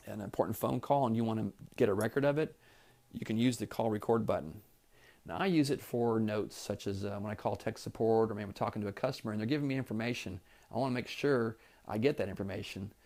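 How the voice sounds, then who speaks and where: A man's voice: fairly low pitch, a little mellow. Man walking through a training tutorial. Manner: plain-spoken, step-by-step explaining. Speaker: trainer demonstrating office phone features. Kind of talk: instructional product tutorial, demonstrated live.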